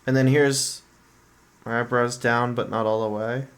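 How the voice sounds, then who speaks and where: A woman's voice: extremely low pitch, steady tone, measured pace. A woman streamer chatting casually on a livestream.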